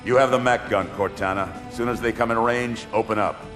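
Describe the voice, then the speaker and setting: An actor playing a military commander who gives clipped, authoritative orders and speeches. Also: male voice, mid-range voice, steady tone, fairly quick, lightly acted. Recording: echoey hall with game music.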